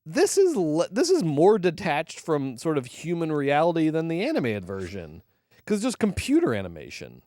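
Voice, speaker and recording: A young man co-hosting a casual movie review podcast, emphatic and animated, swearing freely. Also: fairly high pitch, moderate pace, no room sound. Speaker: podcast co-host, film critic.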